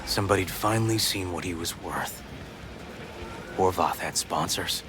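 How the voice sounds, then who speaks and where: An actor playing a hard-nosed, streetwise detective in a video game, speaking in a terse, dry, wry manner. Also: male voice, low voice, moderate pace, over music, natural voice. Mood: probing. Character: cynical, hard-nosed male police detective, wry and tough. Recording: clear noise behind the voice.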